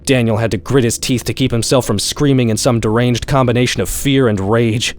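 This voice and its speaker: A man reading a horror-comedy short story aloud in an expressive, wry, profane style. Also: medium pitch, a little fast. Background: background music.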